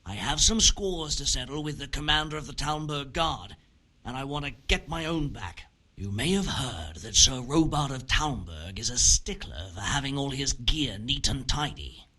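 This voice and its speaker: Sharp, husky scheming crook. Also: male voice, medium pitch, animated, normal speed. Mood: scheming, vengeful. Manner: sly, scornful. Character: scheming, vengeful, gruff medieval criminal handing out shady jobs. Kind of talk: video game voice acting.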